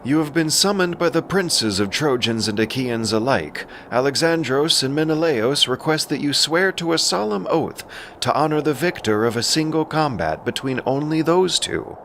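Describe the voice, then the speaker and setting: An actor playing a formal royal herald, making solemn proclamations in an epic audio drama. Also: male voice, fairly high pitch, lively intonation, normal speed, fairly bright tone, a little hiss or hum. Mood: solemn, proclaiming.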